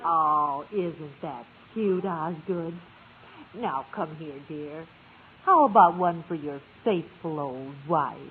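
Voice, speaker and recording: A woman's voice: low-pitched, animated, slow pace. An actress playing a middle-aged wife and mother in a vintage radio sitcom, fondly chiding and coaxing. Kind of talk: 1950s radio sitcom acting. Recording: tinny, hissy old radio recording.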